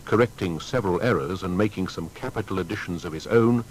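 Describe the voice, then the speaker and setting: A man narrating a scripted documentary biography in a formal, measured storytelling manner. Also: deep voice, a little hiss or hum, poor audio.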